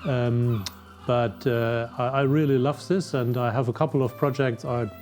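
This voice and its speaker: A man's voice: mid-range voice, fairly even intonation, normal speed, darkish tone, faint music behind. A German man in his sixties, a native German speaker and underwater photographer, giving hesitant interview answers with many fillers. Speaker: wreck diver and underwater photographer.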